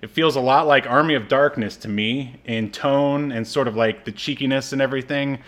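A man co-hosting a podcast in casual film and TV chat, a native English speaker with a jokey, chuckling, halting delivery. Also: medium pitch, fairly bright tone. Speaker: podcast co-host.

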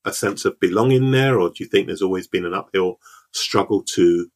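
A man's voice: low voice, brisk. A man hosting an interview, affirming his guest often and asking reflective follow-up questions. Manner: encouraging, affirming with frequent backchannels. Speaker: podcast host. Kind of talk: interview conversation.

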